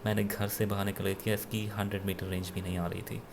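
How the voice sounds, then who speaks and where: A man with an Indian accent giving an unscripted gadget review in a halting, self-correcting way, switching between two languages. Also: fairly low pitch, flat delivery, noisy background. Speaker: product reviewer. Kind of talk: unscripted gadget review, mixing Hindi with English.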